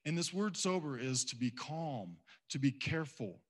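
A man's voice: medium pitch, lively intonation, normal speed. Conversational male preacher. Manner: conversational, acts out quoted voices. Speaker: pastor. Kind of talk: sermon.

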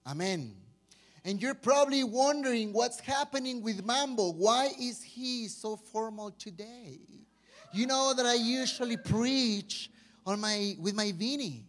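A man's voice: very high voice, lively intonation, measured pace, fairly bright tone. A man from Mexico with a Mexican accent and Spanish as his first language, preaching an informal, chatty sermon with jokes. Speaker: pastor.

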